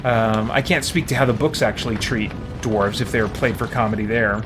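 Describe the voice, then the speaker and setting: A man's voice: on the low side, steady tone, normal speed, over music. A middle-aged man doing casual gameplay commentary, thinking aloud in a hesitant, musing way. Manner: hesitant, musing, mutters to himself. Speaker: game streamer.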